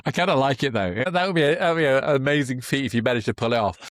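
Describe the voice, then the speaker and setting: A man's voice: mid-range voice, fast talker, no echo, clean studio sound. A man hosting a conversational podcast interview, rambling enthusiastically and often rephrasing himself mid-thought. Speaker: podcast host interviewing a guest.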